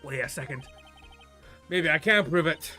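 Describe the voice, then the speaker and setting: Man playing an earnest, bright-voiced defense lawyer. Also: on the high side, normal speed, soft background music. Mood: determined.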